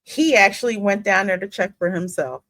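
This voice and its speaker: A woman's voice: mid-range voice, brisk, fairly bright tone, dry recording. A woman hosting a gaming commentary, emphatically theorizing aloud about the story as she reacts. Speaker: gaming host.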